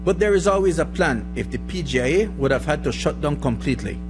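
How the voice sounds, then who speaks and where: A man's voice: a little high, lively intonation, quick pace. A man reading scripted news narration as a reporter. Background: music bed.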